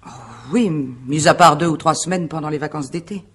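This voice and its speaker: An actress playing a proud, guarded wife under suspicion in a radio mystery drama, answering formally and with composure. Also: female voice, low-pitched, normal speed, husky. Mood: guarded, factual. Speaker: actor in a radio mystery play.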